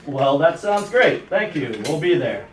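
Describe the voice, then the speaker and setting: An easygoing puppet-show king, acted by a man, generous and genial. He has a fairly high voice and casual delivery, recorded at a distance in an echoey room. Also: very animated, unhurried. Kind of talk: amateur puppet show acting. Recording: echoey room, distant mic, clattering noise.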